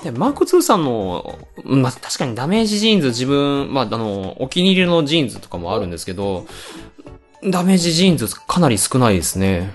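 Low-pitched woman, lively, polite podcast talk. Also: quick pace, soft background music. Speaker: podcast co-host. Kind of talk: casual chat in polite speech.

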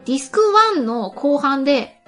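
Woman, high voice, lively intonation, casual radio host, music behind. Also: moderate pace. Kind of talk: casual radio chat about a drama CD.

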